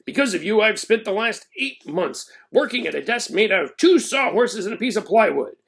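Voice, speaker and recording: A man's voice: high voice, big pitch swings, normal speed, vocal fry, a bit bright, voice pushed up, exaggerated voice. Man acting out a furious, blustering school principal. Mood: exasperated, scolding. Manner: indignant, snapping, emphatic outbursts. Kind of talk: children's storybook read-aloud.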